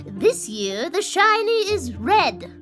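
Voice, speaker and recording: Very high, theatrical squirrel elder. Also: female voice, very animated, takes it slowly, fairly bright tone, faint music behind, full character voice. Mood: grand, ceremonial. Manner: theatrical, ceremonial. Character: fussy, grandiose elder female flying squirrel leader. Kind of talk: children's audio drama acting.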